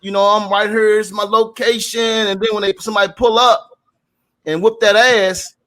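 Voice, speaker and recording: Guy cohosting a show, kinda high voice, casual street talk, preachy, repeats himself, swears a bit. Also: level tone, moderate pace, fairly bright tone. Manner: frequent mild swearing. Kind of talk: casual opinionated rant to co-hosts and audience.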